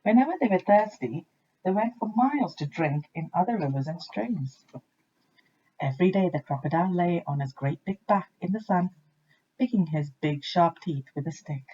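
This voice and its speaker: A woman reading a children's storybook aloud with expressive narration, like a teacher to young listeners. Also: fairly low pitch, normal speed, dull audio, a little hiss or hum.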